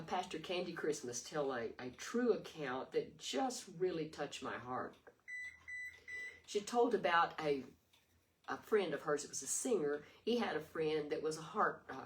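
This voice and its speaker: An older woman with a low, raspy voice preaches an earnest, homespun devotion, often pausing and restarting her thoughts. She sits a little away from the microphone, and a faint hiss runs under her words. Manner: earnest, rambling with restarts and fillers. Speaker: devotional video host. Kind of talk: informal devotional sermon. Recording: distant mic with faint noise.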